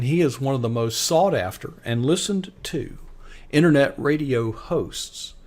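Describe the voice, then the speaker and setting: A male host with a mid-range voice, introducing a guest enthusiastically on a live online show. He pauses often and restarts with fillers. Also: medium pitch, darkish tone.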